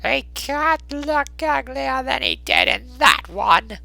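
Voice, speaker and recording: A man acts out a callous Victorian charwoman for a storybook. The voice is very high and piercingly sharp, with drawn-out, slow words. She is brazen and coolly scornful, without a shred of shame. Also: huge pitch swings, vocal fry, no room sound, heavily acted. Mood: callous, scoffing. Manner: coolly unashamed, drawn-out scoffing delivery. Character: brazen, callous working-class charwoman hawking plunder. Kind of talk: dramatised Victorian storybook reading.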